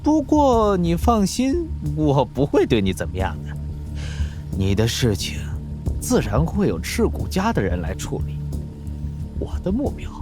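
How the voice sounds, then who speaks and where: 一名男演员在动画剧中饰演阴险残忍的疯狂科学家反派，说话夸张拖腔，带着戏谑的嘲弄。. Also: male voice, a little high, wildly swooping pitch, music in the background. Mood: gloating. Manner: theatrical, drawn-out taunting with cackles. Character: sinister sadistic mad scientist villain, gleeful and mocking. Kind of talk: animated audio drama acting.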